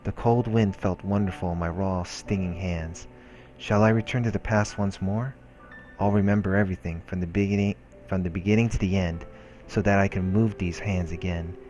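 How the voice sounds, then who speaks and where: A man reading a visual novel aloud as a solemn, hushed narration. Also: sits low, fairly even intonation, normal speed. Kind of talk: scripted narration read aloud. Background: game music.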